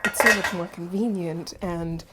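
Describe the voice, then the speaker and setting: A woman's voice: on the low side, steady tone, measured pace, heavy background noise, lightly acted. A woman playing an anxious, solicitous mother goddess in a radio play. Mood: fussing, solicitous. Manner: solicitous, probing, insistent. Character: fussing, anxious mother, a grieving harvest goddess.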